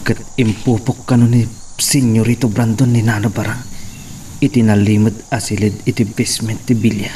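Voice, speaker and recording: A man's voice: fairly low pitch, darkish tone, far from the mic, a little hiss or hum, lightly acted. A man playing an anguished, brooding wounded man in an Ilocano drama, with a Filipino Ilocano accent. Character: anguished wounded man, dreading what may come. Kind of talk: Ilocano radio-style drama acting.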